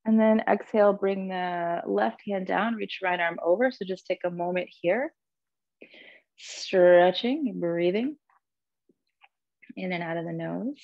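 A woman teaching a guided yoga class in a soothing, gentle, unhurried manner, cueing breath and movement. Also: fairly low pitch, gravelly, dry recording, highs cut off.

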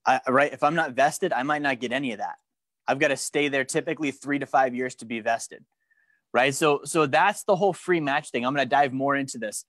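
Young man, finance instructor, animated, quizzes the room, dry sound, mid pitch. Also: brisk, dry recording. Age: young adult. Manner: animated, gestures at a screen while teaching; frequent restarts and questions to the room. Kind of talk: live course lecture. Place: studio with a branded backdrop and a large screen.

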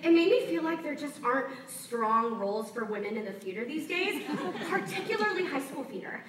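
An actress playing an excitable teenage girl who loves theater, rambling in a musical cast recording. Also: sits high, fairly quick. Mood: chatty. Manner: rambling, breathless chatter. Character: quirky theater-loving teen girl, excitable. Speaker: stage actress. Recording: echoey stage with loud music.

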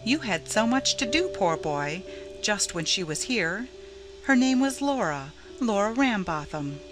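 A woman's voice: medium pitch, sing-song, measured pace, voice pushed up. A woman voicing a fond, gushing lady in a storybook, coaxing and affectionate. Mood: fond, soothing. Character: fond, gushing young lady, Miss Isabella.

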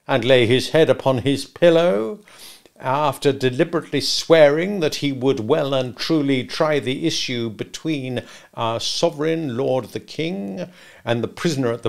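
A man voicing a bullying, sarcastic gentleman who cross-examines like a lawyer in a storybook reading. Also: a little high, vocal fry. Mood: bitter, accusing. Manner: interrogative, sarcastic, emphatic, domineering. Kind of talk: dramatic audiobook character reading.

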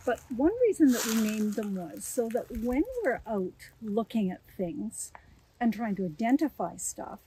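A woman's voice: a little high, measured pace, warm tone, breathy edge, rough recording. An older woman guiding a casual walking tour of her woodland outdoors, explaining what she observes, recorded by a camera a few meters away. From Canada. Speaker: woodlot owner giving a tour. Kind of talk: casual guided walk. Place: autumn forest outdoors.

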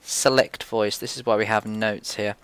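A man's voice: lowish voice, steady tone, normal speed, a bit bright, slight background noise. A man presenting a casual screencast coding tutorial, informally thinking aloud as he works.

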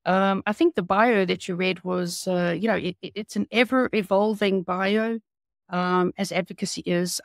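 A middle-aged woman with a South African accent, telling her story as an interview guest over a video call, with many hesitant pauses. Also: lowish voice, creaky, no room sound, clean studio sound. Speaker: guest, patient advocate. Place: home room.

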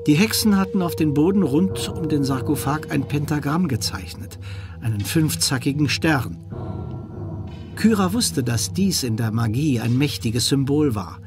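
A man narrating a children's fantasy radio play in a measured, suspenseful way. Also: medium pitch, lightly acted. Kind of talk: scripted narration. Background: music.

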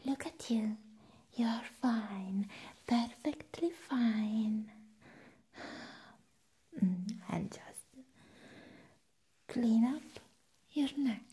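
A whispering, unhinged vampire nurse, acted by a woman, speaks very slowly in a mellow, breathy female voice. She is playful and hypnotic, teasing out each phrase close to the mic in an ASMR roleplay. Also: on the high side, exaggerated voice. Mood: coy, taunting. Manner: slow, drawn-out, teasing delivery. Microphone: close ASMR microphone.

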